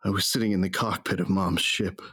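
A man's voice: low voice, fairly even intonation, brisk, a bit bright, slightly rough, no room sound, clean studio sound. An actor playing a grieving, hard-drinking bounty hunter who narrates his story in a subdued, weary, reflective way in an audio drama. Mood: wistful. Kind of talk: first-person audio drama narration and dialogue.

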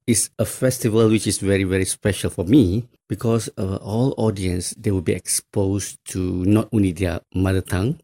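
A male storyteller from Malaysia with a Mandarin-speaking background, answering interview questions in a deliberate way with frequent fillers. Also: sits low, steady tone, mellow. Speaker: storyteller guest.

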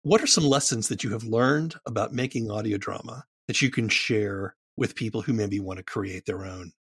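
A man's voice: lowish voice, moderate pace, a bit bright, breathy edge. A man hosting a podcast, reading scripted narration and putting questions to a guest. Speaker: podcast host.

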